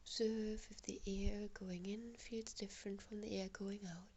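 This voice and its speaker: Breathy, half-whispering woman leading slow meditation. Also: medium pitch, level tone. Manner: slow, hushed, gentle instruction with long pauses. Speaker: guided meditation instructor. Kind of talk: guided relaxation meditation.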